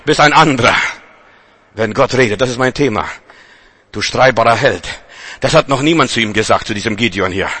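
A man's voice: medium pitch, a little fast, long pauses, bright, forceful tone, slightly rough. A man from Germany preaching a charismatic sermon with emphatic, repeated refrains.

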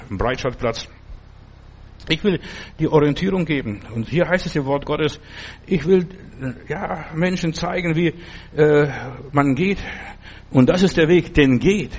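A man's voice: mid-range voice, a little mellow. A man preaching a sermon as a pastor, telling his own story with quoted dialogue and frequent restarts.

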